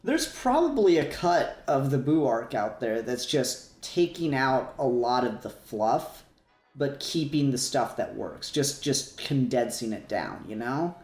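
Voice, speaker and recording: A man speaks in a roomy, echoey recording at an ordinary middle pitch. He talks like a podcast co-host in a casual fan discussion, rambling along and swearing freely. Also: very animated, a little slow, darkish tone. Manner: rambling, profane.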